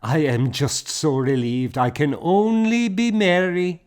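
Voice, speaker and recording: A merry, easygoing woman in a historical audiobook, put on by a male reader. The voice rides high above his narration and sounds cheerful. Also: fairly even intonation, slow pace, darkish tone, clean tone. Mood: merry relief.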